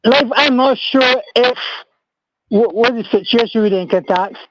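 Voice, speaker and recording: A man's voice: very high voice, measured pace, a bit bright, low-quality recording. An older man with a Bajan accent, chatting casually as a caller to a radio show. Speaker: caller, pensioner. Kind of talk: casual phone-in chat.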